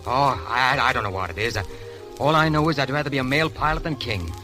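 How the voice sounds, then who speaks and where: A man's voice: medium pitch, fast, bright, forceful tone, natural voice. An American man playing a cocky, flirtatious veteran pilot with brash, slangy patter in a 1930s Hollywood film. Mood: breezy. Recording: vintage 1930s film soundtrack with music.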